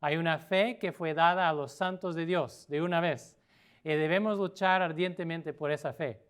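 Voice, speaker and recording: A middle-aged man from Portugal, a native Portuguese speaker, preaching a sermon as a pastor with an earnest manner and frequent pauses. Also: a little high, fairly even intonation, clear, resonant tone. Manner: earnest, with pauses and filler sounds.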